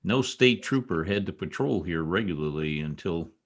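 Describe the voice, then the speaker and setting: A man in an old-time radio play, acting a protective, brusque young state trooper with a gruff, commanding manner. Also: low-pitched, animated, normal speed. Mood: brusque, guarded. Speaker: amateur radio play actor. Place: home, over a video call.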